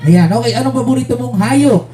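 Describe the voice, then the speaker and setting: A man's voice: extremely high pitch, fast talker, warm tone, echoey room. A man hosting a live children's puppet show with bantering, repetitive comic patter. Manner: bantering, repeats filler phrases and questions.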